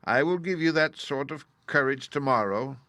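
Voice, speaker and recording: A man voicing an old humbug wizard who gently confesses he is no real magician, speaking with a confiding, sheepish manner. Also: mid-range voice, normal speed, no echo, natural voice. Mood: confessing. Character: humble old male humbug wizard, apologetic.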